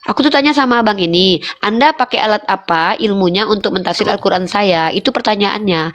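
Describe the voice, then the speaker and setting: An exasperated woman leads a heated live debate. She pushes the same question again and again, then lectures firmly and deliberately in a middle-pitched voice. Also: dull audio. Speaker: debate host.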